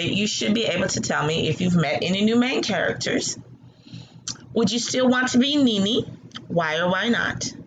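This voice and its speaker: A woman's voice: mid-range voice, noisy background. A woman teacher leading a read-aloud lesson for children, addressing her listeners directly.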